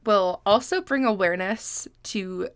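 A woman's voice: medium pitch, measured pace, clean tone, no echo, studio quality. A woman in her twenties from Massachusetts, stuffed up and sniffly from allergies, hosting a casual podcast in a chatty, self-deprecating way and swearing freely. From Massachusetts, USA. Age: 20s. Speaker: podcast host. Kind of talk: casual solo podcast chat.

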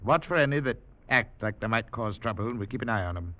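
An actor playing a worried, commanding ship's captain who gives firm orders in old-time radio style. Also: male voice, on the low side, animated, fast, pushed and bright. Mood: firm, urgent. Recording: noisy vintage radio recording.

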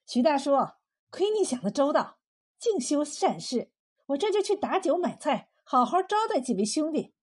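A woman's voice: high-pitched, sing-song, normal speed, few pauses, darkish tone. A woman storyteller voicing a kind, outspoken village wife who speaks in earnest exclamations. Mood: eager, reassuring.

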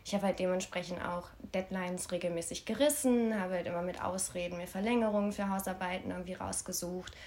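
A woman's voice: on the low side, fairly even intonation, a little fast, hardly any pauses, darkish tone. A young adult woman from Berlin talking casually and candidly as a podcast guest about her own experiences. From Berlin, Germany. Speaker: podcast guest, influencer marketer. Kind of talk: casual conversational interview.

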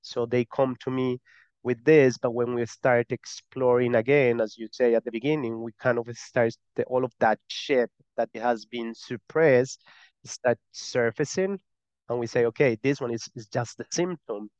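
A man's voice: mid-range voice, fairly even intonation, moderate pace, a little mellow, clean tone, no echo, dull audio. A man with a strong Spanish accent and Spanish as his first language, explaining earnestly as an interview guest, often repeating words as he goes. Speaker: guest, breathwork and somatic practitioner.

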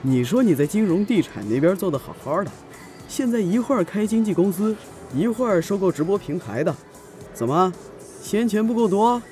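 Cynical chatty buddy, animated, higher male voice, teasing, theatrical, audio drama. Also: moderate pace, faint music behind. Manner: flippant, needling, theatrical. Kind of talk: Chinese audio drama acting.

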